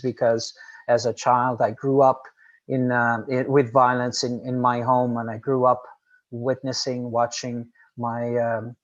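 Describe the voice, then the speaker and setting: Flat-voiced man, native Portuguese speaker. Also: mid-range voice, dull audio. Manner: earnest, measured, formal with frequent "um". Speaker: executive director of an organization. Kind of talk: panel discussion by video call.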